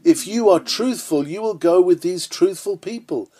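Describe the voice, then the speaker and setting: A man's voice: high voice, normal speed, warm tone. A man reading an old-fashioned sermon aloud in an earnest, oratorical manner. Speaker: sermon reader.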